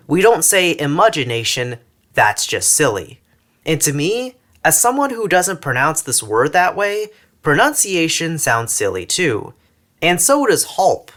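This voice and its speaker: A man with a mostly General American accent and a faint Texan tinge reads a scripted video essay into a close mic. His slightly high voice swings up and down a lot, and he leans on words for emphasis. The tone is wry and a little sarcastic. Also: fairly bright tone. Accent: mostly General American with slight Texan features. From northern Texas. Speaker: language YouTuber.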